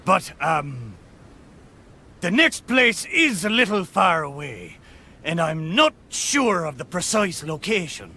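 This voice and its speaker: Animated, blustering boat. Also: male voice, a little high, full character voice. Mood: sheepish, boastful. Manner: blustering, drawn-out exclamations, pirate talk. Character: talking pirate boat, hearty, excitable old seafarer. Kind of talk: theatrical video game character acting.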